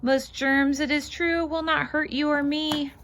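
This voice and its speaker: Woman, fairly high voice with creaky edges, very lively pitch, children's read-aloud, sing-song, expressive. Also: measured pace, a little hiss or hum. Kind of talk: animated children's picture book read-aloud with casual asides.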